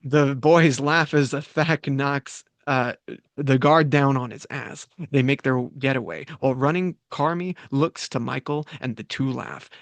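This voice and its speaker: A man reading the stage directions of a script at a table read, steady and matter-of-fact. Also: medium pitch, a little slow, no room sound.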